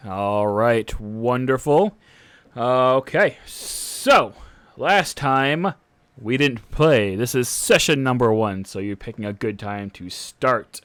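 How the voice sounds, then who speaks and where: Slow, unhurried young man running a tabletop game. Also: mid-range voice, a bit bright, clear, resonant tone, no echo. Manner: unhurried, casual with frequent "uh" and "all right". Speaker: dungeon master. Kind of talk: game master reading a setting synopsis to a live stream.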